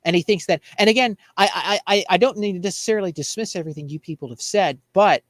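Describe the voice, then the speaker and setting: A man's voice: high-pitched, fast talker, dry recording. An American man on a talk show panel, giving opinionated political chat in a blunt, exasperated, ranting manner.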